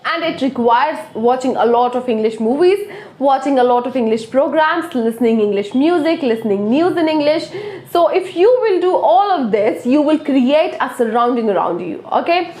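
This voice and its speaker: A woman with an Indian accent teaches spoken English in an earnest, coaxing way, tagging her points with "okay?". Her voice sits fairly high. The recording has an echoey room sound. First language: Hindi. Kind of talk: motivational video lesson.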